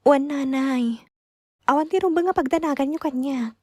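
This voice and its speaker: An actress with an Ilocano accent playing a meek, anxious young wife in a radio drama, hesitant and placating, with stammers. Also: female voice, high voice, normal speed, mellow, no echo, natural voice. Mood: nervous, placating. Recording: dry studio with background music.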